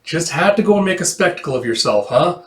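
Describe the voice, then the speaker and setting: Man putting on a high-pitched, brash young woman hustler. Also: lively intonation, fast, close to an everyday voice. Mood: bossy. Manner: exclamatory, brash, theatrical. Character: excitable young woman dice hustler storyteller, sly and playful. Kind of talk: animated manga read-aloud. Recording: roomy, echoey room.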